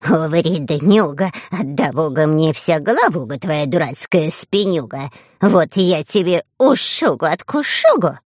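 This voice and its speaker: A woman's voice: sits low, animated, measured pace, no room sound, clean studio sound. A woman voicing a spiteful swamp hag creature from a fantasy storybook, hissing in a singsong way. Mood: spiteful, threatening. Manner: hissing, singsong nonsense threats.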